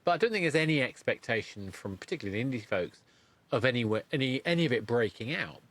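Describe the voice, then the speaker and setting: A man with a mid-pitched voice hosts a conversational podcast interview. He speaks in a halting, searching way, often rewording his point midway through. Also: sing-song, a bit bright. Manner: halting, rephrases as he goes. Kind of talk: casual interview chat.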